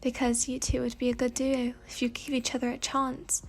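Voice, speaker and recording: A woman's voice: sits high, completely flat delivery, brisk. A woman voicing a playful, pleading spirit in a mirror, with a British accent and a theatrical, whiny manner, in a story reading.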